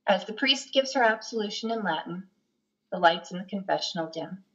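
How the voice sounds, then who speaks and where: A woman's voice: medium pitch, expressive, a little fast, fairly bright tone, highs cut off. A middle-aged woman reading scripted narration and stage directions for a play over a video call. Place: lamp-lit home room, video call. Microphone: computer mic on video call.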